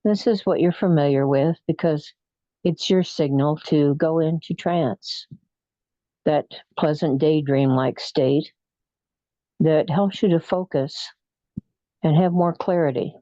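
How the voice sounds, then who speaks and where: A meditation leader speaks very slowly in a mellow, soothing tone. Phrases come in short pieces with frequent pauses, in a hypnotic relaxation style. The recording is dry and a little muffled. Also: mid-range voice, dry recording. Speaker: guided imagery meditation leader.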